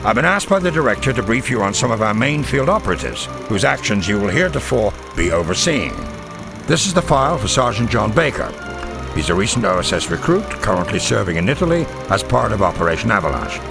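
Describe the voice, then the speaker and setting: An actor playing a middle-aged intelligence colonel from the Second World War, delivering a formal, measured mission briefing in a game cutscene. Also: male voice, lowish voice, very animated, over music. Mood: brisk, businesslike. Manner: measured, formal, crisp military delivery with weighty pauses. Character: formal, authoritative WWII American intelligence colonel briefing officers. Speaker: military briefing officer. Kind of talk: scripted dramatic military briefing.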